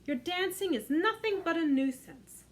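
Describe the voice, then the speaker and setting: A woman's voice: extremely high pitch, lively intonation, moderate pace, voice pushed up. A woman voicing an exasperated but loving mouse mother in a storybook, calling out in a raised, pleading, scolding voice.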